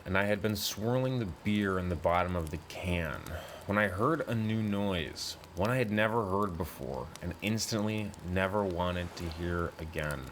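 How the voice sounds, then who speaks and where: Low-voiced man narrating horror, over background noise. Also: normal speed, fairly bright tone. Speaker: horror story narrator and writer. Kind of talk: dramatic first-person horror audiobook narration.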